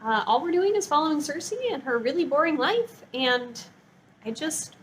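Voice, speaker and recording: A woman's voice: high-pitched, lively intonation, moderate pace, a little hiss or hum, poor audio. A woman talking in a casual, chatty vlog style, with an enthusiastic, gushing and informal manner. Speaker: book review vlogger.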